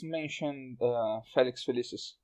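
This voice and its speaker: A man's voice: medium pitch, measured pace, darkish tone. A man hosting a casual group podcast discussion. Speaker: podcast host.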